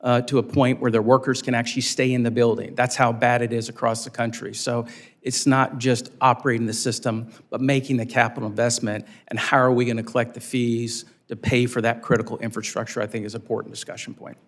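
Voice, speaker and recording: An American man gives explanatory testimony as a witness. His mid-pitched voice is gravelly with fry and stays fairly flat. He is plain-spoken and practical. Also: brisk. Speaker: airport executive testifying as witness.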